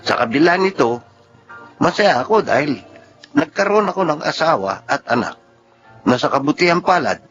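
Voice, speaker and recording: A fairly high-voiced Filipino father of forty, patient yet stubbornly proud. It is radio drama storytelling. The sound is slightly dull, with soft music underneath. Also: big pitch swings, moderate pace, pauses often. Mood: reflective. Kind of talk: Filipino radio drama acting and first-person narration. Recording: muffled audio with music underneath.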